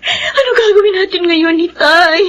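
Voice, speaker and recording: A woman's voice: very high-pitched, lively intonation, normal speed, a bit bright. An actress playing a distraught mother who pleads and wails in melodramatic radio drama style. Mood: tearful, desperate. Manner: wailing, tearful, pleading. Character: distraught, pleading young mother of a possessed child.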